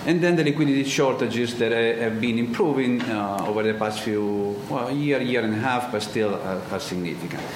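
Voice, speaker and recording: A man from Italy with an Italian accent, giving an economics conference talk and often pausing on ums and uhs. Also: medium pitch. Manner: halting, many ums and uhs, searching for words. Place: large lecture hall. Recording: echoey hall with background noise.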